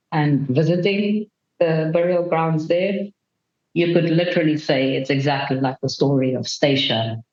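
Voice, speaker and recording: A woman's voice: low-pitched, darkish tone. Earnest woman, roomy dull sound. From Namibia. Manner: earnest, measured, thoughtful. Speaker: guest. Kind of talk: reflective interview answer. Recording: roomy, dull remote recording.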